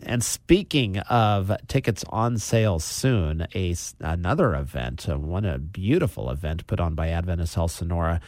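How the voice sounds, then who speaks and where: Deep-voiced male interview host, dry sound. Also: lively intonation, a little mellow, no room sound. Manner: friendly, brisk, informative. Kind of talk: local interview.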